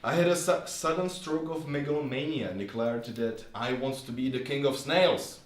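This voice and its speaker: A man's voice: medium pitch, normal speed. A man speaking to an audience at a live literary reading in a bar, as reader and host. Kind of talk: reading prose fiction aloud to an audience. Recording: roomy, distant live recording with faint noise.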